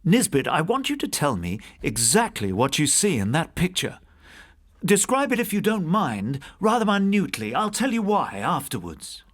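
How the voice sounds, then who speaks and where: A male reader plays an anxious university curator from a storybook ghost tale. His pitch lifts and swings as he puts urgent, polite questions. He sounds intensely curious and easily excited, always with a scholarly courtesy. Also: normal speed. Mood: eager, controlled.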